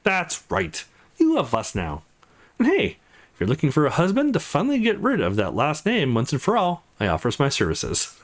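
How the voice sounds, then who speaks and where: A man plays a young man in a visual novel, friendly and quick to joke. The reading is eager and supportive, with very lively swings in pitch. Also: heavily acted. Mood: supportive, joking. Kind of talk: visual novel dialogue read aloud.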